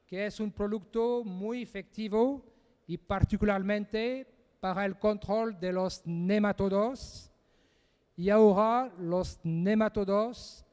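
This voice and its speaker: Conference speaker, male, high and smooth voice, slow and halting as he works through a second language, echoey lecture hall. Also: darkish tone. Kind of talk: technical presentation.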